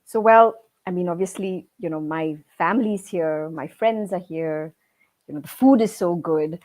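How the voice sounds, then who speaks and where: A woman's voice: fairly low pitch, normal speed, darkish tone. A Singaporean woman in her forties, a guest in a casual interview, talking easily with many "you know"s. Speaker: guest, restaurateur.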